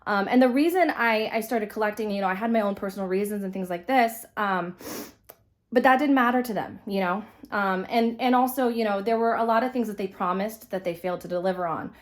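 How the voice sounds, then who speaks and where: A woman's voice: mid-range voice, brisk. A young woman from California chatting casually, with many fillers and restarts, while dressing a doll, her voice a little stuffy from being sick. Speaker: vlogger, reborn doll collector.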